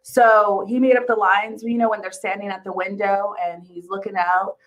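A woman co-hosting a casual recap chat about a TV show, telling things in an animated, giggly way. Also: mid-range voice, moderate pace, poor audio. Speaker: podcast co-host.

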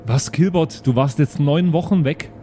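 A man's voice: on the high side, moderate pace, dark tone, faint music behind, natural voice. Man playing a stubborn, chatty, naive son. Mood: curious, puzzled. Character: curious, stubborn, chatty son who keeps pleading with his papa. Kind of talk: improvised audio drama role-play.

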